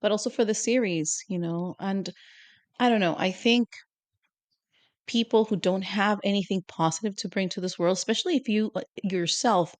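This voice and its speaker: A woman's voice: mid-range voice, normal speed, dry recording. Opinionated woman chatting, dry close sound. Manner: opinionated, animated, lots of "you know?". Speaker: podcast co-host. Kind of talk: casual chat.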